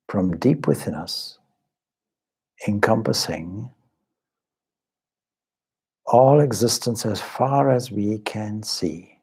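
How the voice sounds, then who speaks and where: A man leading a guided meditation as a teacher, speaking in measured, deliberate short phrases with pauses and a soft, husky voice. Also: fairly low pitch. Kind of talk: guided meditation, slow spoken instruction.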